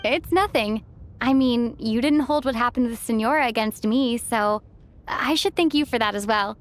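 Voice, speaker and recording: An actress playing a kind, easygoing young female character in a video game, speaking casually and gently. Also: fairly high pitch, normal speed, close to an everyday voice. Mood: gentle, understanding.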